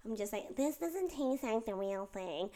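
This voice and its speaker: A young adult woman from Taiwan co-hosting a casual chat, speaking in a candid, animated and joking way. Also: fairly high pitch, unhurried. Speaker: co-host.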